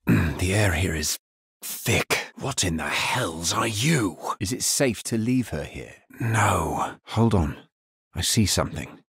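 An actor playing a grave, world-weary necromancer hero in a fantasy video game, speaking solemnly in measured, understated lines. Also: male voice, low voice, lively intonation, a bit bright, husky, no echo, clean studio sound, exaggerated voice. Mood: somber, wary.

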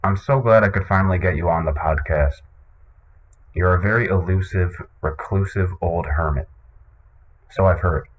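A man hosting a comedic podcast in a casual, deadpan and hesitant way, on a muffled recording. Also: low voice, moderate pace. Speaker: podcast host. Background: music.

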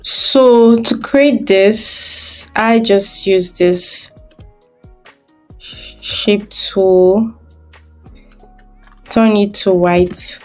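A woman's voice: medium pitch, fairly even intonation, very slow, long pauses, a little mellow, soft background music. A woman giving a screen-recorded design tutorial, thinking aloud step by step as she works.

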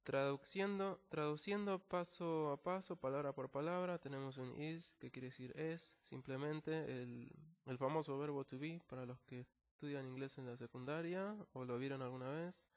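Man, Argentine accent, English teacher, patient explanations, fillers, middle pitch, dull recording. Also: normal speed. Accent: Argentine Spanish. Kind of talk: screen-recorded language lesson.

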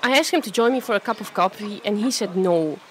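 A woman's voice: medium pitch, quick pace, a bit bright, slight background noise, lightly acted. A woman playing a friendly, chatty, self-doubting young woman in an amateur radio play, with a hesitant, natural delivery. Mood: chatty, embarrassed.

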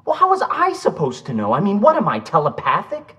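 An actor playing an anxious young slacker in a video game, with a casual drawl and a defensive, pleading manner. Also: male voice, mid-range voice, very animated, normal speed, a bit bright, no echo. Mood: flustered, pleading. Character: whiny, anxious young slacker man, pushed around by his girlfriend.

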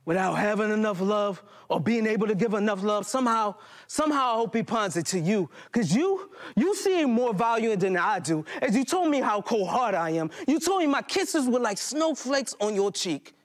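Casting: American male spoken-word poet, high voice, dramatic and deliberate stage delivery with pauses. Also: animated. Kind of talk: performed poetry monologue. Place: indoor hall stage before small audience.

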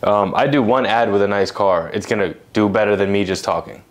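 A man's voice: fairly low pitch, a little fast. A young man from Egypt co-hosting a podcast, talking in an emphatic, casual way full of "you know what I mean". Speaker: podcast co-host. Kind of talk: casual chat.

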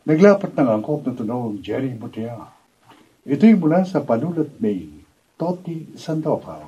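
Brief: Filipino male drama announcer, very raspy, slow measured delivery, faint music behind. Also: mid-range voice, sing-song, dark tone, dull audio. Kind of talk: scripted narration, reading credits.

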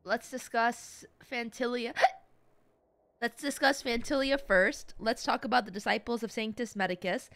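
A woman's voice: on the high side, steady tone, measured pace, a bit bright. A young woman doing casual live game commentary in a playful, slangy, dramatic way. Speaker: streamer.

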